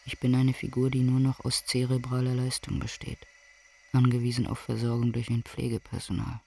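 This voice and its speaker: An actor playing an ailing, weary brain researcher who speaks in deliberate, clinical, formal language in a radio drama. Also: male voice, mid-range voice, completely flat delivery, creaky, darkish tone. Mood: clinical despair. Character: ailing male brain researcher, cerebral, helpless, weary, dying.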